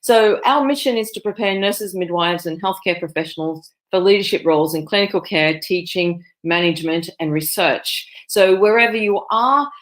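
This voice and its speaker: A woman, a nursing professor giving a conference presentation over a video call, with many fillers and restarts. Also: on the low side. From Australia. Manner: fillers and self-corrections.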